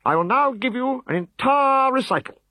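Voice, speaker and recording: A man's voice: extremely high pitch, lively intonation, moderate pace, pushed and bright, no room sound, studio quality, voice pushed up, heavily acted. A man voicing a vain, cheerful bard who delights in himself, in a storybook reading. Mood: delighted. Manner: theatrical, self-delighted.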